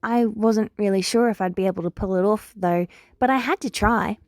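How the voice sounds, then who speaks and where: A woman's voice: medium pitch, moderate pace, no room sound, studio quality, close to an everyday voice. A woman voicing an earnest, wounded young heroine from the future in a story, speaking firmly and a little wryly. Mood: grave, factual. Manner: prompt, firm, wry.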